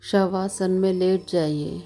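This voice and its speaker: Very slow, mellow woman guiding meditation. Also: lowish voice, soft background music. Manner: calm, measured, soothing instructions with long pauses. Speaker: yoga nidra meditation instructor. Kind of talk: slow guided meditation narration.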